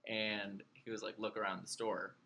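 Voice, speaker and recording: A man's voice: on the low side, little pitch movement, normal speed, bright, forceful tone, slight background noise. A man in his twenties, a returning podcast guest, telling stories in a dry, matter-of-fact way. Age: 20s. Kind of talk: casual chat.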